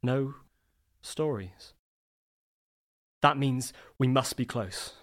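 A man playing a lonely, grieving man in an audio play, speaking a halting, introspective monologue. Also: medium pitch, fairly bright tone. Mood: brooding. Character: grieving, lonely man questioning himself at a grave.

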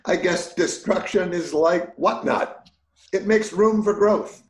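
Actor as an earnest smitten man, echoey room. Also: high voice. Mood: tender, enthusiastic. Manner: wordy, old-fashioned, poetic, halting when flustered. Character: earnest, smitten older man wooing a prickly woman. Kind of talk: romantic comedy audio play acting.

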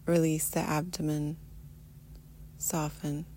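A woman leading a guided meditation in a soothing, unhurried manner, often trailing into a breathy near-whisper. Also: sits low, flat delivery, dark tone, a little hiss or hum. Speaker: guided meditation teacher, podcast host. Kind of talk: guided meditation, soft instruction.

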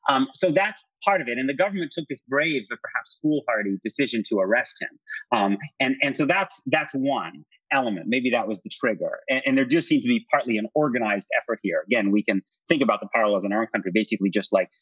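A man speaking as an interview guest, giving conversational political analysis in an earnest, halting way with repeated words. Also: mid-range voice, a bit bright, slightly rough, no echo, low-quality recording. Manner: earnest, halting with repeated words, frequent "you know" and "right?".

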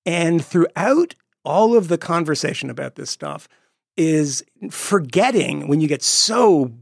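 A man co-hosting a podcast, talking in a quick, digressive, jokey way about politics. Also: fairly high pitch, expressive, gravelly, dry recording, clean studio sound. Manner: quick, digressive, jokey, slips into put-on voices. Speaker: podcast co-host. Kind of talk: casual political chat.

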